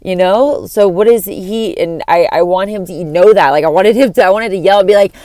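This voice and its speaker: A young woman from Florida with a deep voice that cracks at times, musing on a casual solo podcast in a rambling, profane way full of fillers. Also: brisk, dark tone, no room sound, studio quality. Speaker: podcast host.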